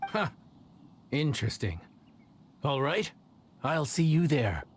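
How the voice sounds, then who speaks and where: A man's voice: fairly high pitch, big pitch swings, slow, slight background noise. An actor playing a level-headed, polite young male inn chef in an anime-style video game. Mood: intrigued.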